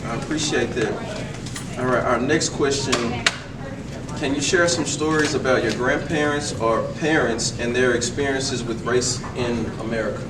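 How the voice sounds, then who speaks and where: A man's voice: medium pitch, moderate pace. A man conducting a formal interview, polite and deferential, often answering "yes, sir.". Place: school building, large echoey room. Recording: echoey room, mic far off, clear background noise.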